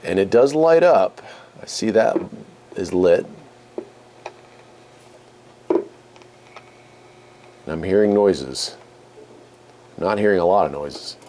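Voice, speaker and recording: Guy with a lowish voice chatting casually while he tinkers, kinda folksy, noise going in the background. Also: expressive, slow pace, pauses often, mellow. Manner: folksy, musing aloud as he tinkers. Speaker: radio hobby video host. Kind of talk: casual hands-on demonstration chat.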